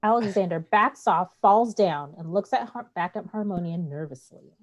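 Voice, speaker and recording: A woman's voice: on the low side, animated, normal speed. A woman narrating stage directions in a scripted play reading.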